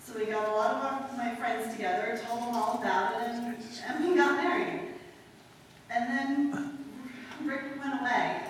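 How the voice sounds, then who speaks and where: A woman's voice: on the high side, steady tone, normal speed, slight background noise, natural voice. A woman acting a tough, slangy war widow who talks bluntly and impatiently, in a live old-time radio play. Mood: wistful. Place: live stage performance. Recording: echoey hall, mic heard from far.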